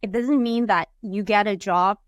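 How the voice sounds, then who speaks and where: A woman's voice: mid-range voice, steady tone, moderate pace, lo-fi sound. A woman hosts a podcast, reading a scripted intro and then asking chatty questions with fillers. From Malaysia. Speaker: podcast host. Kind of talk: podcast interview.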